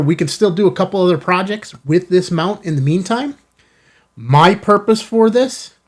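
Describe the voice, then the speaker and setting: A man hosting a casual unboxing video, talking to viewers in an enthusiastic, folksy way with self-kidding asides. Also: on the high side. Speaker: host of a ham radio channel. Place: studio.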